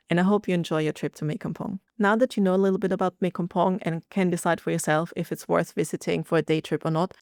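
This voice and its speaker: Young woman with a gravelly voice. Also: lowish voice, no echo, clean studio sound. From half Thai, half Austrian. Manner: chatty, relaxed, conversational. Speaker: travel vlogger. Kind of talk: casual travel vlog to camera. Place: indoors by a window overlooking a pool. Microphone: studio mic in front of her.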